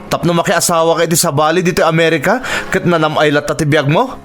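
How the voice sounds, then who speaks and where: High-pitched, domineering, sneering husband played by an actor. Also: male voice, fast talker, fairly bright tone, lightly acted. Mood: angry, scornful. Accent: Filipino. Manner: harsh, bullying, sneering. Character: domineering, scornful husband living in America. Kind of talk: Ilocano radio drama acting. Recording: music bed under the dialogue.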